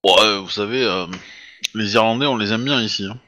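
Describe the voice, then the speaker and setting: A man's voice: lowish voice, brisk, sharp tone. A man doing a polite, hesitant town official. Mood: reassuring. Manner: hesitant, polite, formal address.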